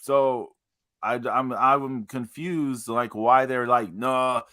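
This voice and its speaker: Man, mid pitch, dry close sound, halting, lots of filler words. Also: fairly even intonation, sharp tone, no echo. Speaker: podcast co-host. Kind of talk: casual wrestling chat.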